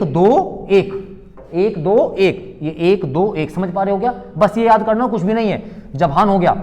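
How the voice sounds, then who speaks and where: A man with a high-pitched voice teaches an exam-prep class in a room with a long echo. He keeps firing questions at his students, repeating each one and then supplying the answer in a call-and-response rhythm. He calls his pupils beta and bachche.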